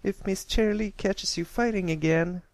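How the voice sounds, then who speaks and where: A man's voice: high-pitched, normal speed, dry recording, pitched above the performer's own voice, lightly acted. A man voicing an anxious young unicorn filly in a story reading, urgent and pleading. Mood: worried pleading.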